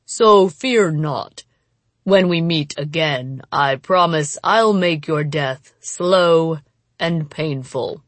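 A woman voicing a cruel, mocking male demon in an audiobook, speaking in a slow, menacing, gloating way. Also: sits low, no echo. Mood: cruel menace. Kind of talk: dramatic audiobook character voice.

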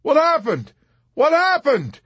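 A man's voice: very high-pitched, huge pitch swings, slow, a bit bright, no room sound, voice pushed up, full character voice. A man voicing a terrified young male airman in an audiobook, crying out and repeating himself frantically. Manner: shrieking, frantic repetition. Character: panicked young male airman, shrieking in terror.

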